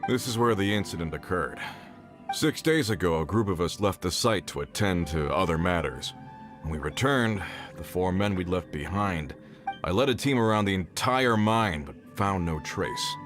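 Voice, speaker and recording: Low, grave older mine foreman. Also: lively intonation, normal speed, over music. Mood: somber. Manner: terse, grave. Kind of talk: video game voice acting.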